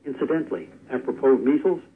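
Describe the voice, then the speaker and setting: Male expert guest, measured explainer, phoned-in radio audio. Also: medium pitch, lively intonation, a little hiss or hum. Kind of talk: explaining on a call-in radio show. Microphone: telephone. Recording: tinny phone line on radio.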